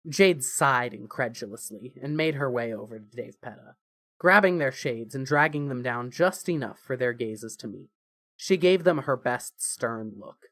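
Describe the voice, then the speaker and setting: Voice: medium pitch, expressive, moderate pace, fairly bright tone, dry recording. A narrator reading scripted fiction in an audio story.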